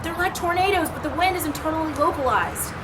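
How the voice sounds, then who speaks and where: Audio drama policewoman: extremely high, deferential, flustered, slightly distant in noisy street ambience. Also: fast. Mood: dutiful. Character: dutiful, flustered female uniformed police officer.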